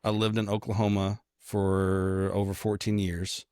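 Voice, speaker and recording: A man's voice: on the low side, completely flat delivery, a little slow, darkish tone, no room sound, studio quality. A man from Kentucky with a mild Southern American accent, chatting casually as a podcast co-host in a rambling, joking, self-deprecating way. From Kentucky, United States.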